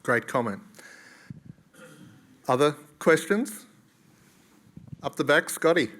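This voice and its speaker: A man with an Australian accent moderating a panel discussion in a relaxed, casual way. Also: a little high, slow. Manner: relaxed, casual, steering questions. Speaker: panel facilitator.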